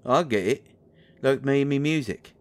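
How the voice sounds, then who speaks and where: A man's voice: mid-range voice, moderate pace, lightly acted. A man playing a cheeky, mouthy male musician sidekick with a British accent in an audio drama, sarcastic and slangy. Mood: mocking.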